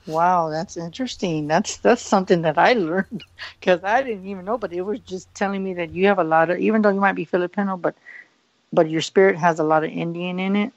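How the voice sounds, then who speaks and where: A man's voice: high-pitched, fairly quick, no echo, lo-fi sound. A man working as a psychic medium on a live radio show, giving a hesitant reading full of fillers and repeated likes.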